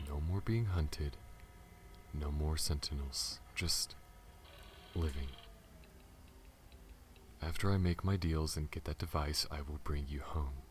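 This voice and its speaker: A man playing a guarded, protective alien smuggler pilot in an ASMR audio roleplay, earnest and halting, speaking to the listener. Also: rumbling low, darkish tone. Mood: confessional, grave. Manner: halting, stammers when flustered, earnest. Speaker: voice actor. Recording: audible background noise.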